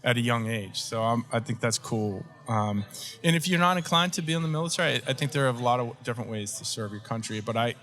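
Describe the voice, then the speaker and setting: Guest: man, mid pitch, relaxed, hesitant with fillers. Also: fast talker, soft background music. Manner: relaxed, with many fillers and frequent laughs. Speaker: interview guest. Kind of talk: casual interview conversation.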